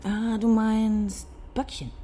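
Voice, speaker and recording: A woman's voice: on the high side, flat delivery, slow pace, dark tone. An actress playing a wry, teasing, guarded woman with a dry manner in a radio crime drama.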